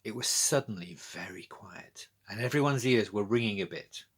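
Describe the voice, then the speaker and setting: A man's voice: fairly low pitch, moderate pace, fairly bright tone, slightly rough. A British man narrating a scripted children's storybook as its author, reading aloud.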